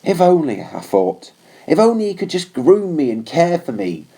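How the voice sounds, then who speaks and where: A man's voice: a little high, very animated, moderate pace, gravelly, warm tone, slightly rough. A man reading a scripted story aloud as narrator of an audiobook. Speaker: audiobook reader.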